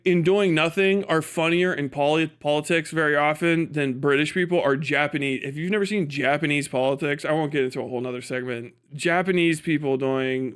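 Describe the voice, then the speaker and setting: Higher-voiced American man, profane livestreamer. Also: smooth. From United States. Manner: profane, irreverent, joking; breaks often into chuckles. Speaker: livestreamer and podcast host. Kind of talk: casual live commentary, off the cuff.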